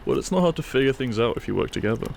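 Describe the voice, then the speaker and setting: A man playing a devoted, wry husband in an intimate audio roleplay, speaking in a dry, measured, fond way. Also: mid-range voice, animated, vocal fry, darkish tone, a little hiss or hum. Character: devoted, wry British husband sheltering a guest.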